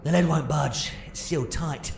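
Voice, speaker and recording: An actor playing an eccentric, quick-witted alien doctor in an audio drama, with a theatrical, wry manner. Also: male voice, a little high, creaky, noisy background. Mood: brisk, curious. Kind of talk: full-cast audio drama acting. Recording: roomy sound with music behind.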